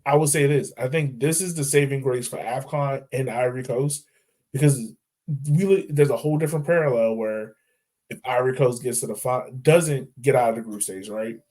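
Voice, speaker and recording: A man co-hosting a football podcast at a mid-range pitch, chatting loosely. He rambles, doubles back to fix what he just said, and breaks into laughs as he goes. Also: normal speed, mellow. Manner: rambling, self-correcting, laughs easily. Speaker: podcast co-host. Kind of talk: casual sports chat.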